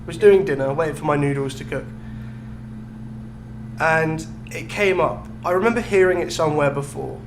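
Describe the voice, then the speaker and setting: A man's voice: on the high side, moderate pace. A young British man co-hosting a podcast in a casual, chatty style. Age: young adult. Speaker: podcast co-host. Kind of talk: casual chat. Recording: echoey room with clear hum.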